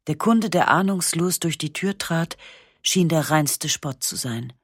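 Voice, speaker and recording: A woman reading a first-person literary memoir for radio, calm and measured, with slow, matter-of-fact pauses. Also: deep voice, breathy edge, no room sound, studio quality. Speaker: narrator.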